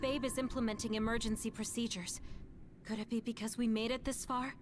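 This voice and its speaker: A woman's voice: on the high side, little pitch movement, brisk, noisy background, lightly acted. A woman voicing an earnest, composed young squad fighter in an English video game dub. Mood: serious.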